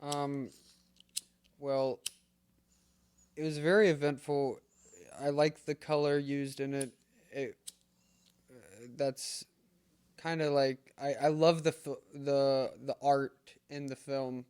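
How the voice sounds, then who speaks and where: A man in a casual podcast chat, speaking hesitantly with halting restarts. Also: mid-range voice, little pitch movement, a little mellow, clear, resonant tone. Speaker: podcast guest/panelist.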